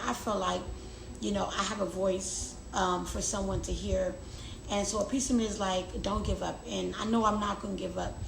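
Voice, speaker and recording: A young adult woman vlogger chatting casually and confidingly to her viewers, often repeating words for emphasis. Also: medium pitch. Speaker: lifestyle vlogger. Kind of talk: casual confiding chat to camera. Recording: roomy echo, clear background noise.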